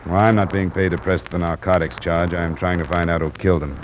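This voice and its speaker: An actor playing a world-weary, dogged insurance investigator in a 1950s American radio drama, speaking in a hard-boiled, matter-of-fact way. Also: male voice, deep voice, steady tone, quick pace, lightly acted. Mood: firm, probing. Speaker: lead actor and narrator of a detective radio drama. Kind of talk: 1950s American radio detective acting, clipped narration. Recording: noisy, muffled vintage radio recording.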